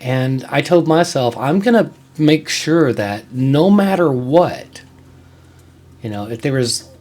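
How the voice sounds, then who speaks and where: A man hosting a music show, talking casually and unscripted in a halting, conversational way, repeating words and leaning on key points. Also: mid-range voice, animated, darkish tone. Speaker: music show host and reviewer. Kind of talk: casual solo podcast update, unscripted.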